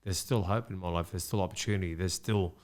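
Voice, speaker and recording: Low-voiced man hosting a podcast. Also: fairly even intonation, fast talker. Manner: supportive, reflective, frequent agreeing murmurs. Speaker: podcast host. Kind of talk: casual podcast interview.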